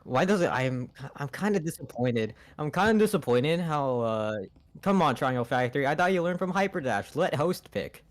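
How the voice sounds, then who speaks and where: A man's voice: a little high, animated, moderate pace. A man co-casting an esports match live as his voice wears out, rambling and filling gaps with "you know". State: losing his voice. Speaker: esports co-caster.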